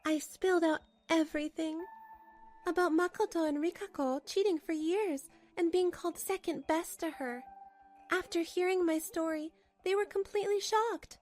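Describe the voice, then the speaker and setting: Very high-voiced woman narrating a manga dub. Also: moderate pace, a little mellow, faint music behind. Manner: chatty, dramatic inner monologue. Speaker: narrator and wife.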